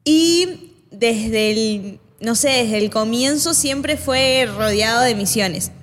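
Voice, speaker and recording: A woman's voice: a little high, slow, slight background noise. Young woman with mixed Argentine-Chilean accent, reverberant. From born in Chile, raised in Argentina. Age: 20s. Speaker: podcast guest, missions student. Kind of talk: casual podcast interview.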